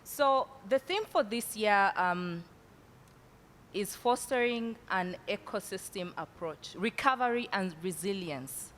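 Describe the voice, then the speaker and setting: A woman moderating a panel with a loud voice at middle pitch, her speech dotted with "um"s. She speaks into a mic in a large hall with a long reverb. A faint rumble runs underneath. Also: expressive, takes it slowly. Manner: says she has a loud voice, with many fillers.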